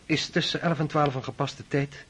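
An actor playing a smooth, flattering young officer in a radio play, speaking in a courtly, ingratiating way. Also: male voice, fairly high pitch, normal speed, a little hiss or hum, natural voice.

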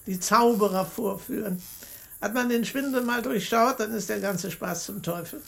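An old country granny in a radio play. Her creaky, mid-pitched voice is homely and calming, with a shrewd plain-spoken way. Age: older.

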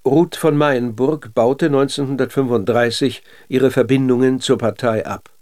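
A man, an actor narrating case files in a documentary radio drama. His pitch is average and his delivery is sober and scripted. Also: steady tone, moderate pace, darkish tone, natural voice.

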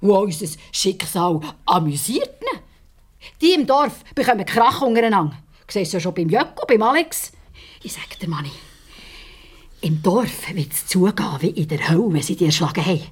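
An actress playing a disillusioned, hard-bitten farmer's wife in a radio play, frank and bitter, with a Swiss German accent. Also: female voice, mid-range voice, sing-song, moderate pace, breathy edge. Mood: bitter, scornful.

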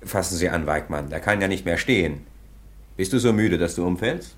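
An actor playing a brisk, authoritative police officer on night watch in a radio play, curt and questioning. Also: male voice, low voice, animated. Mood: brisk, stern.

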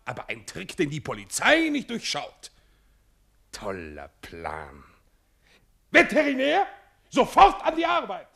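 An actor playing a pompous, blustering Russian general in a theatrical radio comedy. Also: male voice, high voice, huge pitch swings, slow, creaky, pauses often, sharp tone, exaggerated voice. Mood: blustering, commanding.

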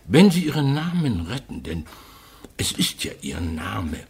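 An actor playing an earnest, flattering provincial gentleman in a radio play. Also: on the low side, unhurried, breathy edge, full character voice. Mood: fervent, flattering. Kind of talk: radio drama acting.

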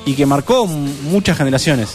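A man's voice: fairly high pitch, lively intonation, fairly quick, faint music behind. A man talking at length about films on a radio show, often restarting his sentences. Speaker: radio co-host. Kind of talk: casual radio chat on films.

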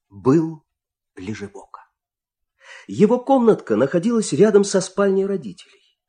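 An actor performing in an audio fairy-tale play as an expressive, theatrical storyteller and coaxing farmer husband. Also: male voice, a little high.

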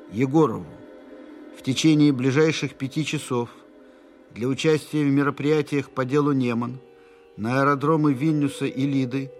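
An actor narrating urgent military dispatches in a radio play, formal, clipped and businesslike. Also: male voice, medium pitch, close to an everyday voice. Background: music.

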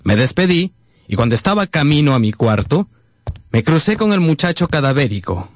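A man's voice: on the high side, very animated, normal speed, no echo. An actor playing an earnest, sentimental grown man narrating his boyhood in a radio drama. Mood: reflective. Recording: music behind the voice.

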